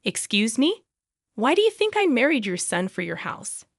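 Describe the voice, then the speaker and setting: A woman's voice: fairly high pitch, big pitch swings, moderate pace, no echo, studio quality. A woman voicing a wronged, defiant young wife in a narrated story, challenging with questions. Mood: indignant.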